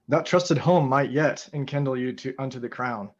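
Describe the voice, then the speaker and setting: A man's voice: mid-range voice, moderate pace, natural voice. Guy doing a wary warning soldier lord, shakespeare radio play, bit lo-fi. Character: wary soldier lord, suspicious of dark prophecy. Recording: slightly dull, lo-fi sound.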